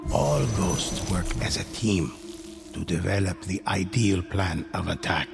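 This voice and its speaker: A slow, gravelly legend-teller. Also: male voice, deep voice, exaggerated voice. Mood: solemn. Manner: slow, grave, measured delivery. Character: solemn male storyteller narrating legends. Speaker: narrator. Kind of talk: scripted game narration. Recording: game audio with loud music.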